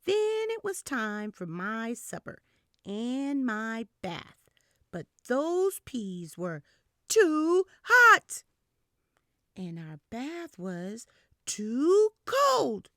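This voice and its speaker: A woman hosting children's storytime, reading a picture book aloud in an expressive, animated way with breathy whispered stretches. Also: on the high side, very slow, no echo, clean studio sound.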